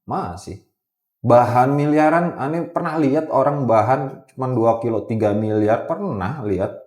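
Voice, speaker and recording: A man's voice: medium pitch, moderate pace, a little mellow. A man chatting casually as a podcast guest, often repeating words and phrases for emphasis. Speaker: podcast guest, gemstone trader. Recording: roomy, echoey podcast recording.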